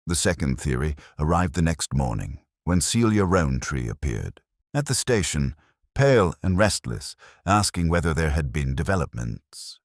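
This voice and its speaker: A man reading a scripted first-person detective story as narrator, in a measured, reflective, literary manner. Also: very deep voice, very animated, no echo, studio quality.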